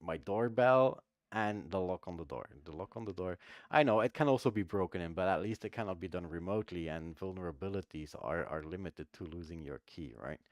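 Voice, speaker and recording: Deep-voiced man with a French accent. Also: a little fast, no echo, clean studio sound. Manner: animated, emphatic, laughs while making a point. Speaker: threat researcher co-host. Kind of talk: live stream discussion.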